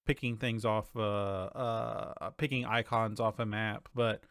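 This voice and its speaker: A man's voice: fairly low pitch, fairly even intonation, slow pace, fairly bright tone, smooth, no room sound, clean studio sound. A man co-hosting a podcast, chatting casually and enthusiastically about video games in informal language. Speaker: podcast co-host.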